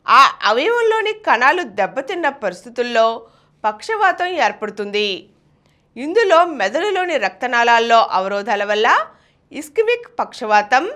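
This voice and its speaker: A woman's voice: sits high, sharp tone. A woman news reader, delivering a scripted news bulletin.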